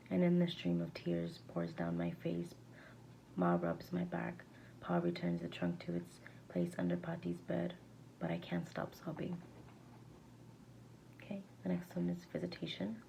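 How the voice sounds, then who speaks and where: Creaky-voiced woman reading aloud. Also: on the low side, mellow, rough recording. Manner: halting, stumbles over words and rereads. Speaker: amateur reader. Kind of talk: reading a verse novel aloud. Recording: faint background noise.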